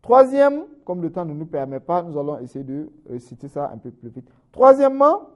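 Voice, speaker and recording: High-pitched, mellow-toned preaching man. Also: big pitch swings, moderate pace. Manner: says "n'est-ce pas" constantly, swings from raised emphatic phrases to low trailing ends. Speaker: Islamic preacher. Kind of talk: sermon.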